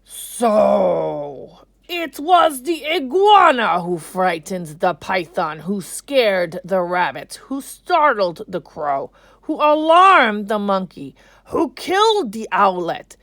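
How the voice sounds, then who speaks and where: A woman's voice: mid-range voice, big pitch swings, gravelly, no echo, full character voice. A woman voicing a stern, commanding lion king in a folktale, with emphatic, accusing delivery. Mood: grave, accusing. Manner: loud, emphatic, drawn-out, accusing.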